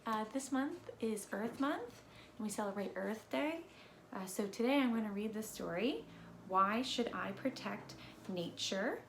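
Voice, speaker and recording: Female storybook reader, expressive and deliberate, unhurried delivery, echoey recording with faint background noise. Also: medium pitch. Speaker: read-aloud presenter. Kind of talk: expressive children's storybook read-aloud.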